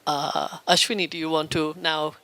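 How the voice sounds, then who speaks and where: A man's voice: a little high, flat delivery, normal speed, bright, forceful tone. An Indian American man moderating a literary festival panel, introducing the session in an enthusiastic, flowing way with long lists.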